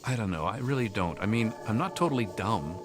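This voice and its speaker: A man's voice: on the low side, animated, fairly quick, close to an everyday voice. An actor playing a troubled, earnest young man haunted by hunger, confiding hesitantly as video game dialogue. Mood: troubled, reflective. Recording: music behind the voice.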